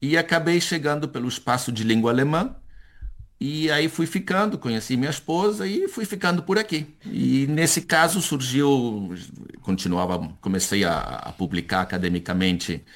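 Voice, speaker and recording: A man's voice: medium pitch, moderate pace, fairly bright tone. A man, speaking as a professor in a conversational interview, thoughtful and hesitant, often restarting and repeating words. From born in Chile to Brazilian parents.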